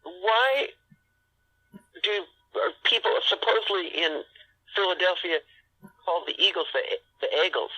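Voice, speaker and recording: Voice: mid-range voice, lively intonation, a little slow, long pauses, bright, forceful tone, husky, poor audio. A host in casual chat, asking curious short questions.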